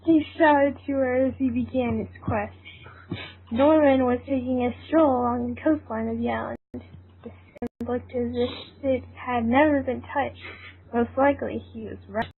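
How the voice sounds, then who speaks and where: Higher-pitched woman narrator, tinny audio. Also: fairly even intonation, measured pace, creaky. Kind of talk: amateur scripted fan fiction narration. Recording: tinny, band-limited, noisy amateur recording.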